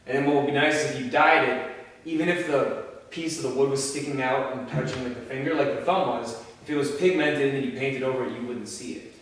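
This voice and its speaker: A man's voice: mid-range voice, fairly even intonation, fast, a bit bright. A man from a film crew chatting casually as he plans practical effects. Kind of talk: casual chat. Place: indoors in a reverberant room. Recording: echoey room, distant mic, faint noise.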